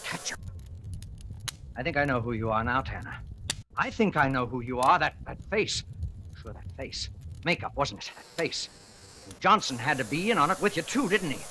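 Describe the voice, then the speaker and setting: A man's voice: medium pitch, lively intonation, normal speed, pauses often, sharp tone. An actor playing a frantic, accusing man cracking up, badgering and repeating himself in 1940s radio drama style, on a hissy old recording. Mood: accusing, agitated.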